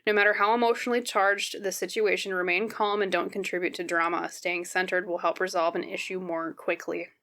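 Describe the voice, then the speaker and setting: Woman, somewhat low pitch, a tad scratchy, conversational tarot reader, gentle explaining. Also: little pitch movement, brisk, few pauses. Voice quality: slightly scratchy. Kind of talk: casual, conversational tarot card reading to viewers.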